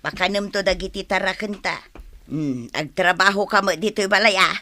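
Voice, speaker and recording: Woman acting hardworking rice-cake vendor, sharp bright voice, expressive, nagging, northern Filipino accent, radio drama. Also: medium pitch, normal speed, natural voice. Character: hardworking, nagging village wife selling rice cakes.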